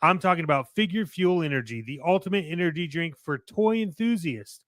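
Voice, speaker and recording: A man's voice: a little high, animated, brisk, fairly bright tone, no room sound. A man hosting a radio-style podcast in a conversational, salesy way, moving between casual banter and upbeat sponsor reads on a close mic. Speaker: podcast and radio show host.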